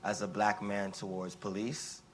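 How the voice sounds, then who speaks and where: A man's voice: fairly low pitch, level tone, a bit bright, slight background noise. A young man speaking up from the audience at a panel discussion, asking a long, rambling question full of fillers and restarts. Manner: halting, many fillers and restarts. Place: conference room with an audience.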